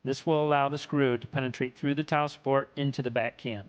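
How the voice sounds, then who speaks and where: A man reads scripted technical narration for an instructional video in a measured, instructional way. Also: medium pitch, fairly even intonation, smooth, no room sound, highs cut off.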